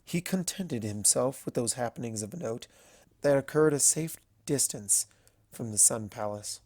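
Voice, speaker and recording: A storyteller narrates scripted fiction with measured, dramatic delivery. The voice sits at a middling pitch and is recorded dry, with very little room sound. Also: no echo.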